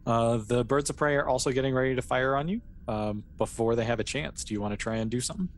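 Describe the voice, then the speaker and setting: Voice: medium pitch, fast. A game master running a tabletop roleplay in a casual, hesitant, conversational way, explaining and reassuring as they narrate. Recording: clear background noise.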